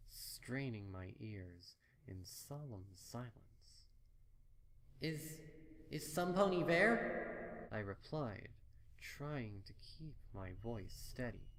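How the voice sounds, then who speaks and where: A man reading a dark horror story in the first person, solemn and dramatic, as scripted narration. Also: on the low side, slight background noise. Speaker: fan fiction reader.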